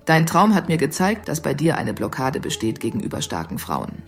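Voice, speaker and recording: A woman's voice: low-pitched, very animated, moderate pace, faint music behind. An actress playing a domineering mystical dream interpreter in an audio drama, teasing and condescending to her client. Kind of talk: German audio drama dialogue.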